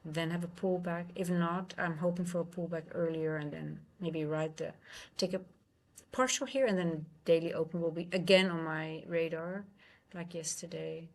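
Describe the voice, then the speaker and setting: A woman with a Dutch accent, a native Dutch speaker, hosting a live trading stream, thinking aloud in halting, casual commentary. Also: lowish voice, fairly even intonation. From Netherlands.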